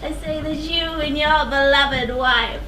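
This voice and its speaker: An actress playing a blind old prophet in a stage verse drama, declamatory, foreboding and taunting. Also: female voice, high-pitched, takes it slowly, pushed and bright, full character voice. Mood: accusing. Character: blind old female seer, ominous and defiant. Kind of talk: theatrical verse stage acting. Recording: echoey theatre, distant mic, faint noise.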